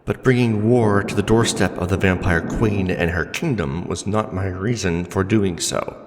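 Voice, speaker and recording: A man acting as a nervous young necromancer in a fantasy audio roleplay, speaking formally and pleadingly with halting politeness. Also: sits low, slight background noise. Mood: respectful protest. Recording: long hall reverb, faint music. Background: faint background music.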